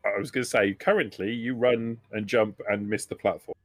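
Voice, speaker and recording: A man runs a tabletop game in a fairly low voice, recorded close and dry. He narrates off the cuff, hesitating and rewording as he builds each description. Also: fairly even intonation, no echo. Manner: hesitant, halting, often rephrasing. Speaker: game master.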